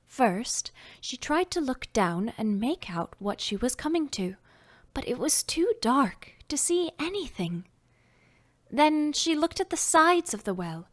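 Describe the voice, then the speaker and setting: A woman narrating a children's storybook at an unhurried pace, her pitch on the high side and moving a lot. She reads expressively and often sinks into a soft whisper for effect. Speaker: audiobook narrator.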